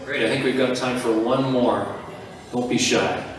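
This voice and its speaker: A man moderating a conference panel, upbeat and brisk. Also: mid-range voice, fairly even intonation. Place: large conference hall. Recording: echoey hall, mic far off.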